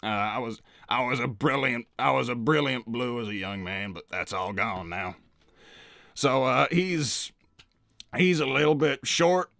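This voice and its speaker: A man's voice: mid-range voice, a little slow, vocal fry, a bit bright, no echo. A man voicing an old hedgehog uncle in a cartoon interview, hesitant and evasive, stammering and repeating himself as he rambles. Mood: hesitant, rambling. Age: older. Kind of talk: improvised in-character interview.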